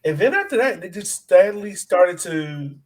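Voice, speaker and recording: Guy w a slightly higher voice hosting a sports pod, real informal, repeats stuff to make his point. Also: sing-song, moderate pace. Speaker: soccer podcast host. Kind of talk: casual sports discussion.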